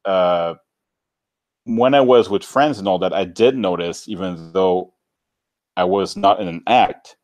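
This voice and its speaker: Man from Canada, deep low voice, interview guest, informal, rambling, dry recording. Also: a little slow, pauses often, darkish tone, dry recording. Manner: rambling, informal, filler-heavy with many "like"s. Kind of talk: casual chat, personal storytelling.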